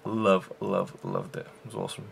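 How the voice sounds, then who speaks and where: A man's voice: low voice, flat delivery, normal speed, vocal fry, slight background noise. A man hosting a casual film review show, rambling with enthusiasm and many fillers and restarts.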